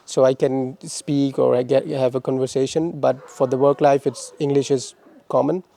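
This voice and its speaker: A young man from Pakistan answering interview questions about his studies, speaking in a halting way with many restarts and repeated words. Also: medium pitch, level tone, gravelly, warm tone. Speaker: interviewee, former master's student.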